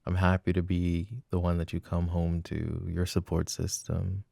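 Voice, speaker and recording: A man's voice: sits low, completely flat delivery, measured pace, vocal fry, warm tone, dry recording, clean studio sound. A young man playing an apologetic, adoring jealous boyfriend in an intimate roleplay, speaking in a casual, hesitant murmur. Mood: proud, affectionate. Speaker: voice actor.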